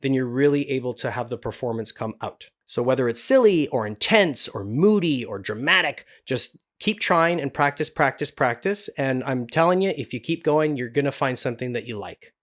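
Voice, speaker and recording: A man talking casually and encouragingly as a voice actor giving instruction, in a medium-pitched voice. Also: animated, normal speed, hardly any pauses. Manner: encouraging, informal.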